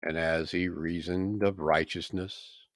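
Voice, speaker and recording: A man reading scripture aloud as a solemn narration, with deliberate phrasing and dramatic emphasis. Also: deep voice, fairly even intonation, no room sound, studio quality. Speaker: scripture reader.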